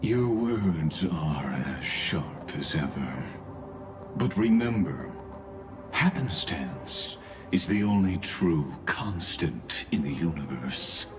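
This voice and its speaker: A cold, scheming genius from a fantasy game, his voice extremely low and very slow. He speaks with formal, ominous courtesy, every phrase measured, over clear background music. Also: expressive. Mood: smooth, lofty. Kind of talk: scripted video game dialogue.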